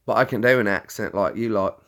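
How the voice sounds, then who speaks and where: A man's voice: on the low side, fairly quick, a bit bright. A man from Tennessee with a neutral American accent, chatting casually to camera. He is jokey and explains things step by step. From Tennessee, United States. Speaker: vlogger.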